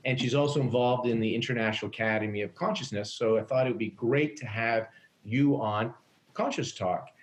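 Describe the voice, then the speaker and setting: A man hosting an interview, friendly and a little halting, with many fillers. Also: medium pitch. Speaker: podcast host.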